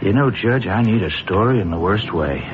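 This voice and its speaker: An actor playing a chummy, talkative newspaper columnist with a folksy, stammering manner, in 1970s radio drama style. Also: male voice, on the low side, lively intonation, moderate pace, dull audio, lightly acted. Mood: jovial, chummy. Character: chummy, talkative male newspaper columnist, nervous under threat. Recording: slightly hissy, band-limited old radio recording.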